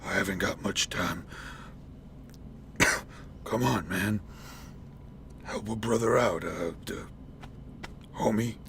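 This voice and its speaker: A man playing a gruff, eccentric old homeless man in a comic radio play. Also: lowish voice, takes it slowly, pauses often, fairly bright tone, a little hiss or hum, heavily acted. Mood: wheezy urging. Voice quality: creaky, coughing. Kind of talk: comic radio theatre acting.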